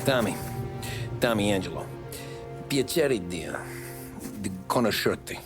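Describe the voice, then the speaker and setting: A man's voice: lowish voice, lively intonation, measured pace, over loud music. An actor playing a cool, dutiful gangster henchman in a video game, terse and understated in his delivery. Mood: businesslike. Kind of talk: cinematic video game acting.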